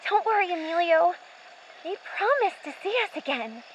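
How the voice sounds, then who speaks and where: A woman's voice: very high-pitched, big pitch swings, moderate pace. A woman playing a plucky young girl, a tough big sister, earnest and childlike in a radio play. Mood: plucky, hopeful. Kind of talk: audio drama acting. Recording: muffled, faint background noise.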